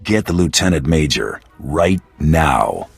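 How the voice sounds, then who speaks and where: A man playing a gruff, menacing bounty hunter in a video game, curt and blunt, with a gravelly, husky voice. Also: rumbling low, lively intonation, slow pace, faint music behind, heavily acted. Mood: cold menace. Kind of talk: video game cutscene acting.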